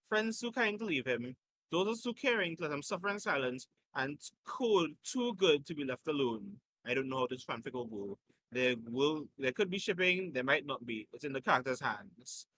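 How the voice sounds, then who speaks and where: A man's voice: on the high side, bright, forceful tone, a little hiss or hum, low-quality recording. A man reading a story aloud in an informal way, as a host who slips in his own asides and corrections.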